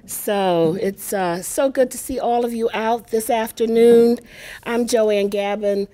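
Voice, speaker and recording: Older woman host, big voice, leisurely and warm-toned fillers, higher than usual pitch, introducing a reading. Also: fairly even intonation. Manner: warm, chatty, unhurried with frequent fillers. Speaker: poetry center director introducing a reading. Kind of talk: welcoming remarks to a live audience. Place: lecture hall at a university.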